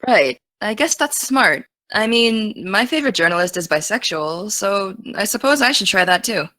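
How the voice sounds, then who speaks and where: A dry, close voice in an audio drama: a young woman playing an excitable American teenage girl. Her pitch is average for a woman. She talks casually, teasing one moment and earnest the next. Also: normal speed, no echo. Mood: upbeat, teasing. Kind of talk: naturalistic audio drama dialogue.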